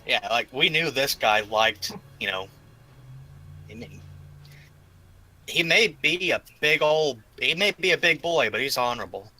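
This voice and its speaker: Bright, forceful male gamer. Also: medium pitch, animated, a little slow, pauses often, poor audio. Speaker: player in tabletop game. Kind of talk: casual gaming chat.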